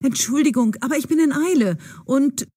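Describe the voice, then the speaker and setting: An actress playing a bold, self-assured young woman in an audio drama, with a breathy voice. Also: sits high, brisk, warm tone, natural voice. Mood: polite, hurried.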